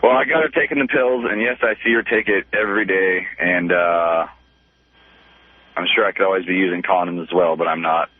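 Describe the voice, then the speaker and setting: A man's voice: low voice, a little fast. A man calling a radio show, chatting casually in a laid-back way over a phone line. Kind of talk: casual chat.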